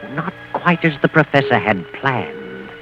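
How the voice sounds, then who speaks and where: An actor as an ominous, ironic mystery narrator, giving measured, dramatic 1940s radio narration. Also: male voice, mid-range voice, wildly swooping pitch. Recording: dull 1940s radio recording with music.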